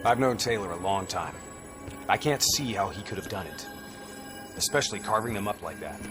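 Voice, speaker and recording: An actor playing a gruff, wary special forces soldier in a video game, terse and sardonic. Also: male voice, fairly low pitch, normal speed, lightly acted. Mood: troubled. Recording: loud game music behind the voice.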